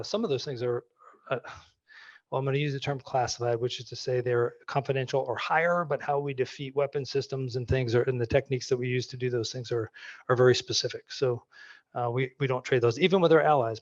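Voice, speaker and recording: A man's voice: mid-range voice, dry recording, muffled recording. A man, answering audience questions in a conversational way full of restarts. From Maine, US. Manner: conversational, many restarts and fillers. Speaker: guest speaker.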